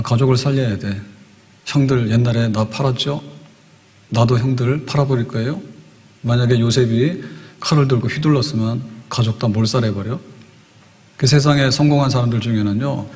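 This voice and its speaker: An older man preaches from a church pulpit into a podium microphone, his voice trailing long hall reverb. He explains step by step like a storyteller, often asking rhetorical questions. His pitch sits in an ordinary middle male range. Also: darkish tone. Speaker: pastor. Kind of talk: sermon.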